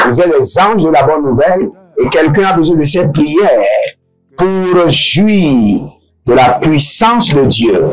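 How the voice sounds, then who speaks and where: A man leading a deliverance prayer service, preaching slowly and emphatically and chanting long runs of speaking in tongues. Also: fairly high pitch, poor audio.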